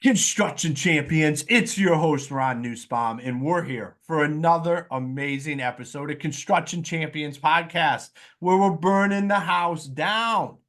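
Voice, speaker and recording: A man's voice: fairly high pitch, a little slow, few pauses, fairly bright tone. A man hosting a podcast, upbeat and enthusiastic, introducing guests and reading his own promotional spots. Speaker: podcast host. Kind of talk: podcast hosting and self-read ad.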